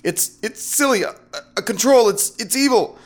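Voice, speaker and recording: A man's voice: very high voice, normal speed, voice pushed up. A man playing a flustered male atheist in a short story, stammering and agitated as he is overwhelmed. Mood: flustered, aroused.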